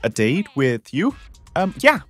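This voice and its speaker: A man's voice: on the high side, huge pitch swings, measured pace, faint music behind, exaggerated voice. A young man voicing a popular teenage boy who is nervous and stammers a lot, juggling two dates. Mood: flustered. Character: popular teen boy, flustered two-timer. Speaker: voice actor in a fan-made game drama.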